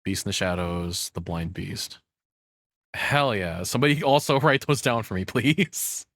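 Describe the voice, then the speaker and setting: A man's voice: fairly low pitch, expressive, a little slow, a bit bright, dry recording, clean studio sound. A man giving casual, informal reaction commentary as a streamer, with hesitant asides. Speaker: VTuber reacting to a video.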